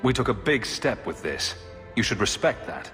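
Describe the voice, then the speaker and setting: A man's voice: medium pitch, very animated, normal speed, a bit bright. A man playing a firm, dryly sardonic young elder brother in a video game, terse and protective. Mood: stern. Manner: dry, terse, assertive. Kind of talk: dramatic video game acting. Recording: long reverb with background music.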